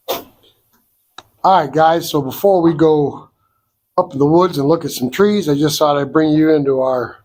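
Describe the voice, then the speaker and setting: Middle-aged male vlog host with a head cold, unhurried plain-spoken delivery, slightly raised pitch, dry close sound. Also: a little mellow, dry recording. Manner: slow, folksy, down-to-earth explaining. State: congested from a cold. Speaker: sawmill owner and channel host. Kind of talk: casual outdoor vlog walkthrough. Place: wood shed at a sawmill.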